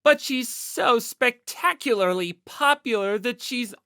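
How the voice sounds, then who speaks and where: An excitable young male anime geek played by a woman in an audiobook. The voice is pushed high and swings widely, with pompous, old-fashioned formality and drawn-out words. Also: no room sound, clean studio sound. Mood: boastful. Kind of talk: audiobook character acting.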